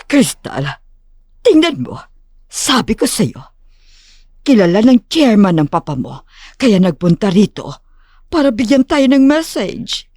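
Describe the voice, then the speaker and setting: A woman's voice: medium pitch, sing-song, measured pace, long pauses, darkish tone, breathy edge, no echo, studio quality. A woman playing a smug, eager social-climbing mother talking to her daughter in an audio drama.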